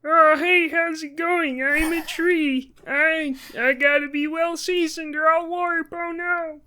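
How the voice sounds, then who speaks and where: A man's voice: very high voice, steady tone, slow pace, sharp tone, heavily acted. A man voicing a chirpy talking tree that frets about warping, as a jokey podcast impression.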